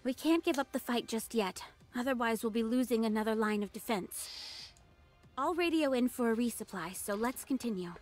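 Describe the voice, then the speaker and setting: A woman's voice: fairly high pitch, moderate pace, a little mellow, faint music behind, natural voice. Resolute commanding woman squad leader, voiced by an actress. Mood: determined, urgent. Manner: firm, decisive orders. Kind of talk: anime game voice acting.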